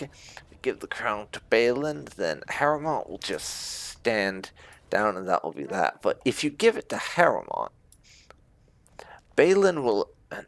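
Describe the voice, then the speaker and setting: A man's voice: on the low side, vocal fry, a bit bright, dry recording. A man giving casual, conversational commentary on a game, explaining things as he plays. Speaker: gaming YouTuber.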